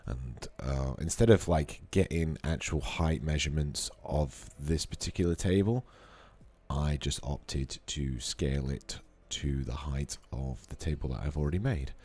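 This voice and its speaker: A man with a slightly hoarse voice from a cold, thinking aloud with fillers as he streams a casual modeling tutorial at his computer. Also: a bass voice, unhurried, warm tone. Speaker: 3D artist streaming a modeling session. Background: faint background music.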